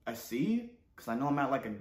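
Young American man, echoey room, deadpan. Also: medium pitch, quick pace. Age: young adult. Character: naive, awkward male student. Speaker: host of a parody channel. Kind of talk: casual comedy roleplay. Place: indoors in a living room. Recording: roomy, echoey indoor sound.

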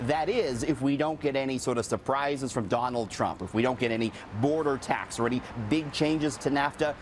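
A man's voice: mid-range voice, normal speed, a little hiss or hum. Man giving a chatty business report. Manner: chatty, joking banter between reports. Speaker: business editor. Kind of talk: newscast business segment. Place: radio newsroom studio.

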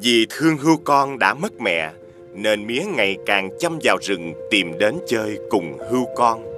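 A man reading scripted storybook narration for an animated folk tale. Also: on the low side, moderate pace, over music.

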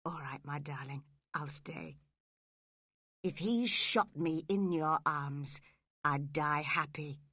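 A woman's voice: deep voice, slow pace, sharp tone, no echo, studio quality, heavily acted. A woman voicing a passionate, brooding man anguished by love, dramatic and impassioned, in a storybook reading. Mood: hushed devotion.

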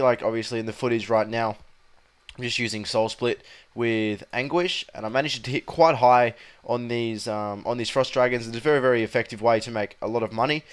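Young man with a raspy cold voice. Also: mid-range voice. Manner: rambling, many ums and restarts. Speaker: gaming video host. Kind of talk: casual commentary over gameplay.

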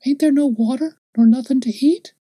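A man voicing an innocent, solemn five-year-old girl with a high childish voice. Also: normal speed, warm tone, husky, no room sound, studio quality. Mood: chattering. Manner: high childish voice, earnest and plain.

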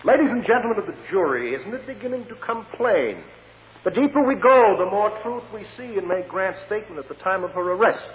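An impassioned American defense lawyer in an old radio courtroom drama. He presses with probing, rhetorical questions in a fairly high male voice. The recording is narrow and tinny, with long reverb and faint hiss. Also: expressive, brisk.

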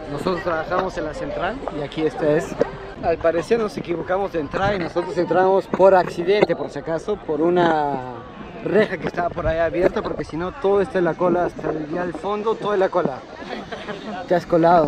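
A man's voice: fairly high pitch, a little fast, over a noisy crowd. Chatty man filming outdoors. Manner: chatty, teasing, laughs often. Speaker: vlogger. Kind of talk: casual vlog tour. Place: busy outdoor city streets.

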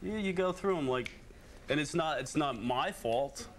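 A man's voice: on the high side, animated, measured pace, crowd noise. A man in his thirties answering questions on a talk show stage, laid-back and a bit hesitant. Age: 30s. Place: TV studio stage.

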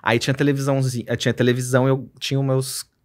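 He is a Brazilian man in his thirties with a mild regional accent. His voice sits at a medium pitch. He tells stories casually, doubling back on his phrases, close to a studio microphone. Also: flat delivery, quick pace. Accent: Brazilian, mild Cuiabá. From Cuiabá, Mato Grosso, Brazil. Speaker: podcast guest, psychiatrist. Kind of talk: casual chat, personal storytelling. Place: podcast studio, indoors. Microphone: desk broadcast microphone up close.

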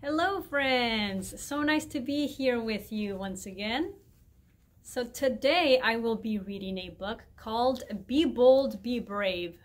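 A middle-aged woman reading a children's picture book aloud in a clear, deliberate storytime way in a library room. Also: on the high side, animated. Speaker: guest reader, mayor. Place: indoor library room with bookshelves.

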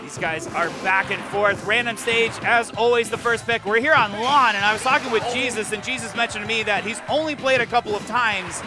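A man giving live commentary at a video game tournament, excitable and explanatory in manner. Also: high-pitched, a little fast, few pauses. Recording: music behind, live event stream. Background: game music.

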